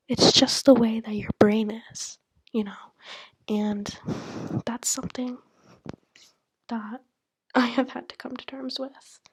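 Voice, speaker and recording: A woman's voice: fairly high pitch, dry recording. A young woman whispering a candid, rambling monologue to the camera, full of "like" and "you know". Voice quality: breathy whisper. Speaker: vlogger.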